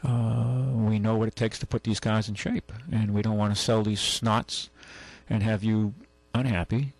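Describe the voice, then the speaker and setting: Gravelly, low-voiced man hosting radio. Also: flat delivery, no room sound. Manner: halting, rambling, conversational explanations. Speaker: radio call-in show host, car dealer. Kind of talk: casual advice on a call-in radio show.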